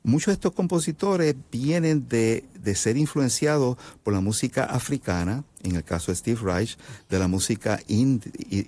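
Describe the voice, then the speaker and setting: A Puerto Rican man, a composer, explaining his music in an interview, halting often with fillers and restarts. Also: lowish voice, mellow. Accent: Puerto Rican Spanish. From Puerto Rico. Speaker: composer guest. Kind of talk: interview answers.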